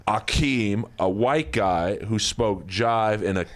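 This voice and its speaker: A man telling comedic anecdotes in casual podcast banter, rambling and halting as he goes. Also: on the low side. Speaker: podcast guest telling old wrestling stories.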